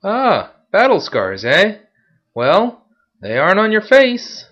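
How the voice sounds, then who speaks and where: Brief: male narrator doing a jeering city guard, pitched up, loud and cocky. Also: wildly swooping pitch, slow, exaggerated voice. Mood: mocking. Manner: taunting, loud and swaggering. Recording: dull lo-fi recording.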